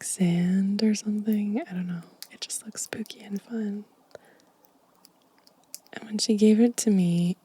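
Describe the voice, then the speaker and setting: A woman speaks in a very soft, dark ASMR voice, mostly whispered and full of breath. She is gentle and casual, drifting between whisper and quiet voiced speech as she shows things off. The sound is close and dry. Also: medium pitch, breathy edge, no echo. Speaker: ASMR video host. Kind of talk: ASMR show and tell, whispered and soft-spoken. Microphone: close microphone, dry sound.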